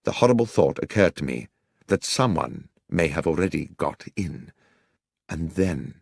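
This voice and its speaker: A British man reading a detective story as a dramatic audiobook narrator, in a measured, literary and expressive storytelling manner. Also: medium pitch, no echo, clean studio sound.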